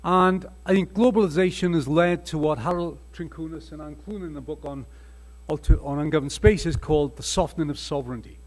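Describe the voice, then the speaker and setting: Older Welsh-accented man, husky, slightly high voice, earnest panel lecturer. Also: brisk, a little mellow. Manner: earnest, emphatic lecturing with restarts. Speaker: panel speaker. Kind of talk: conference panel talk with slides. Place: conference hall panel table. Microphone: table microphone.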